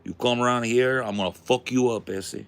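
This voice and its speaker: A man's voice: medium pitch, steady tone, moderate pace, clear, resonant tone, pitched above the performer's own voice, close to an everyday voice. A man voicing a hot-tempered street tough with a Mexican American accent, threatening, swaggering and full of slang. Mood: angry, threatening.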